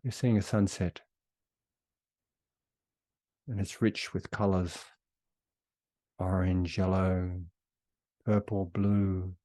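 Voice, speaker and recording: A man leading a guided meditation in a soothing, unhurried way with long pauses between phrases. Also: deep voice, little pitch movement, warm tone, no echo.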